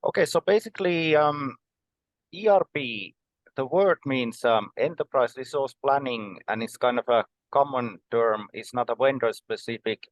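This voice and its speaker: Finnish man explaining in measured tones. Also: medium pitch, no echo, poor audio. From Finland. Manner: measured, explanatory, with frequent um and uh pauses. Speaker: guest. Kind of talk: conversational podcast interview.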